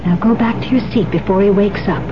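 A woman playing a steady police operative in a 1970s radio drama, firm and reassuring as she instructs. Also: medium pitch, a little fast, lightly acted. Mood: reassuring, urgent. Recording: muffled, noisy old radio broadcast.

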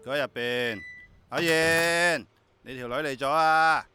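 An actor playing a brash, teasing street junk collector in a radio drama, with a Hong Kong accent. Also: male voice, a little high, measured pace, pushed and bright, a little hiss or hum. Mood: gruff, teasing. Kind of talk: Cantonese radio drama acting.

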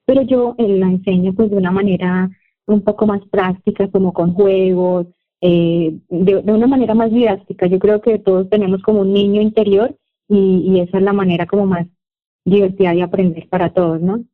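A Colombian woman, a Spanish teacher, chatting relaxedly as an interview guest, pausing often on "eh". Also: mid-range voice. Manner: relaxed, with frequent "eh" pauses. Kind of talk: casual interview chat. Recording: tinny phone-like line.

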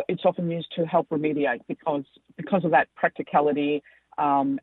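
A woman with an Australian accent, a company founder answering interview questions, speaking haltingly with many fillers. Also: fairly low pitch, dry recording. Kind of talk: interview over the phone. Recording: tinny dry phone line.

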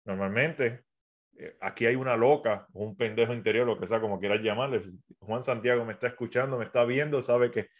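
A Puerto Rican man with an accent blended by years in South America, coaching his audience on a live stream. He talks to them directly and casually in a middle-pitched voice. His sentences are full of fillers and restarts. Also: brisk. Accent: Puerto Rican Spanish with a South American mix. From Puerto Rico. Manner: conversational, many fillers and restarts, addresses viewers directly. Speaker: entrepreneur and business coach. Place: at home, phone on a tripod.

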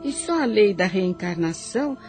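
A woman's voice: medium pitch, lively intonation, normal speed. A woman giving solemn, preachy scripted narration for a radio drama. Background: music.

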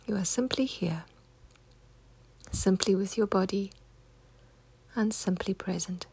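Breathy, creaky woman guiding meditation. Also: medium pitch. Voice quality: soft, breathy, husky, near-whispered. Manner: gentle, unhurried, soothing instruction. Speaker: meditation guide. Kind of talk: guided meditation.

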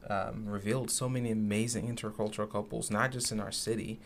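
Young male podcast co-host from Zimbabwe, lowish voice, earnest and reflective. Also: fairly even intonation, fast, slight background noise. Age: young adult. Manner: earnest, reflective, many fillers. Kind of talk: casual podcast conversation.

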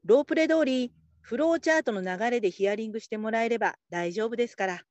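A woman playing a patient, supportive call-centre supervisor in a radio drama, calm and politely instructive. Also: a little high, fast, no echo, muffled recording, close to an everyday voice. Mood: encouraging. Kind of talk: Japanese radio drama acting.

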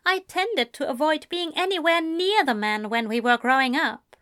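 A woman's voice: high-pitched, normal speed, fairly bright tone, no room sound, clean studio sound, voice pushed up. A woman voicing a polite, reserved young man who speaks formally. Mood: earnest.

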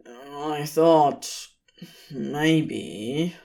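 A woman voicing a laid-back, sly yet bashful man with a raspy, sleep-rough voice and casual, slangy phrasing. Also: low-pitched, extremely slow, a little mellow, voice pushed down, heavily acted. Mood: bashful, hesitant.

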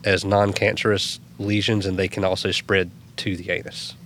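A man's voice: sits low, level tone, normal speed, a bit bright, slight background noise. A man co-hosting a podcast, talking in a rambling, joking way with a nasal voice. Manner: rambling, self-correcting, joking. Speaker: podcast co-host. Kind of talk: casual chat.

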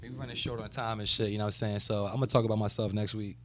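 A man's voice: on the low side, fairly even intonation, racing, slight background noise. A man hosting a casual podcast in a laid-back way, leaning on fillers as he talks. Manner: laid-back, repeats fillers like "you know what I'm saying". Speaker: podcast host, rapper. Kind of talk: casual chat.

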